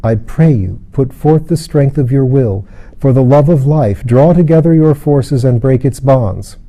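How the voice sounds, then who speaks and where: A man's voice: mid-range voice, measured pace, dark tone. A man voicing a stern, solemn woman in a storybook, urging earnestly. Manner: earnest, exhorting.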